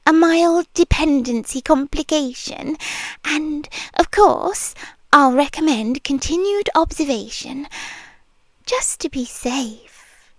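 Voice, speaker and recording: A British woman playing an obsessive, possessive young nurse in an ASMR roleplay, whispering softly and teasing in a sweetly controlling way close to the mic. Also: high voice, animated, slow pace, no echo, exaggerated voice, low-quality recording. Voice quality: soft, breathy whispering. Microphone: close mic, speaking into the ear.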